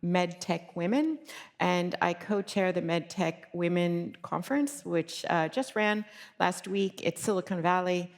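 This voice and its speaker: A woman executive speaking as a panelist at a conference, chatty, with many fillers, rattling off lists quickly. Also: lowish voice. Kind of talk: panel discussion. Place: conference hall. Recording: reverberant conference hall.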